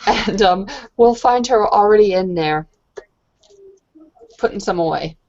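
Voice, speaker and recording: A woman's voice: mid-range voice, measured pace, a little mellow. A woman playing a tabletop roleplaying game, improvising narration of her character's actions. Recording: muffled lo-fi voice recording.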